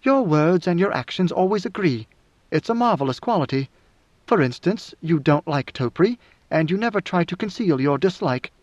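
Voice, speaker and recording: Man voicing a commanding woman rebel leader, higher pitched. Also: animated, close to an everyday voice. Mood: cool, probing. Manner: measured, imperious, exacting. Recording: roomy, slightly muffled recording.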